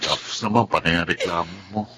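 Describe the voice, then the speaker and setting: A man playing a dismissive, impatient young husband in a radio drama, speaking in a hushed, reproachful way. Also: sits low, fairly quick, breathy edge, exaggerated voice. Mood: irritated, reproachful.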